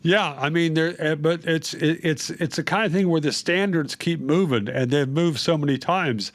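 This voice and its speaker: A man appearing as a writer guest on a podcast, speaking in a wry, halting way with many fillers. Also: on the high side. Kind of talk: casual conversational interview.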